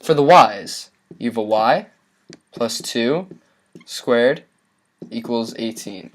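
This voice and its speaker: A man's voice: medium pitch, animated, slow pace. A young man presenting a math tutorial, explaining each step in an instructional way.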